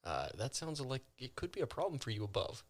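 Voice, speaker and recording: A man's voice: mid-range voice, big pitch swings, fairly quick. A man playing a tabletop role-playing game, chatting casually in and out of character.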